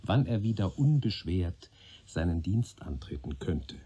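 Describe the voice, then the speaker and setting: Husky, animated grumbling police boss. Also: male voice, deep voice, measured pace, heavily acted. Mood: wry grumbling. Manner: jocular, rambling, grumbling. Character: wry, chatty, grumbling older male police superior. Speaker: actor in a radio crime drama. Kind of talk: German radio play dialogue.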